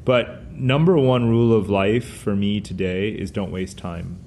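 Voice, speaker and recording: Man, middle-aged, Hindi first language, low-ish voice, discursive, faint background noise. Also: measured pace, creaky, darkish tone. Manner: discursive, frequent "you know" fillers. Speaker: guest author. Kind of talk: conversational interview answers.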